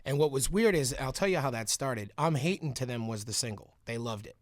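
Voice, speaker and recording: Male podcast guest, raspy, mid-pitched, dry close mic, animated storyteller. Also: a little fast, dry recording. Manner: animated storyteller, laughs often. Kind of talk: casual interview storytelling.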